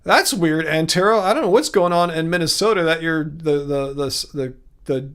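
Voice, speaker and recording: A man with a Californian accent and a fairly high voice hosts a livestream. He talks in a loose, easygoing way, drifting between thoughts as he answers comments. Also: moderate pace, a bit bright, smooth. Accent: Californian American. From California, United States. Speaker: livestream host. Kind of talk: casual livestream chat, replying to viewer comments.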